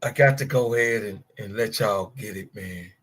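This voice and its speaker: A man's voice: on the low side, normal speed, a bit bright, husky. A man in his fifties, co-hosting a sports talk show, ranting with emphatic, profane call-outs. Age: 50s. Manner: profane, emphatic, calls out players by name. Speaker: podcast co-host. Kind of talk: heated sports talk rant.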